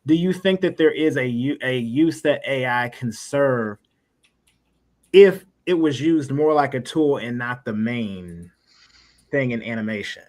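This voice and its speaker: A man's voice: mid-range voice, unhurried, darkish tone. A man hosting a casual interview, talking informally in roundabout phrases. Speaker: podcast host.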